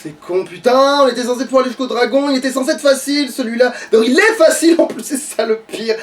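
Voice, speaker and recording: A man's voice: very high voice, fast talker. A man doing casual, rambling gameplay commentary, swearing and sounding exasperated. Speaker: let's play gamer. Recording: distant mic with light background noise.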